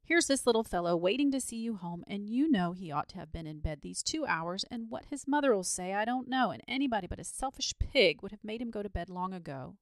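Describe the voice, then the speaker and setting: Woman as a brisk, kindly storybook saint. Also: medium pitch, steady tone, no echo, lightly acted. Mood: firm scolding. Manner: brisk, reassuring, firm. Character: kindly, firm male saint, gallant good fellow. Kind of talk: storybook character voice.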